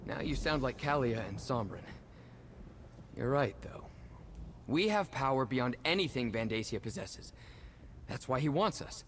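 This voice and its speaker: An actor playing an anxious, fatalistic captive with dangerous powers in a video game, earnest and emotional. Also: male voice, mid-range voice, animated, unhurried, long pauses, a bit bright, slight background noise. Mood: rallying. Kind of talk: video game dramatic acting.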